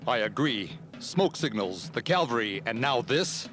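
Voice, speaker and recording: An actor in an animated series playing a calm, dignified deputy and loyal companion, formal and composed. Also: male voice, medium pitch, a little slow, natural voice. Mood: thoughtful. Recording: music behind the voice.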